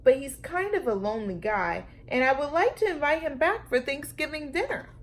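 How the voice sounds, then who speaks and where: A woman's voice: high-pitched, expressive, normal speed, pitched above the performer's own voice. A woman voicing a spirited, headstrong little girl who is learning to be polite, in a storybook. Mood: bright invitation.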